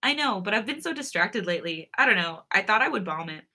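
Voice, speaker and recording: A woman's voice: medium pitch, animated, fast talker, sharp tone. A woman playing a lovesick, vulnerable young woman student on a phone call, candid and wistful, in a romance story. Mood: relieved, apologetic.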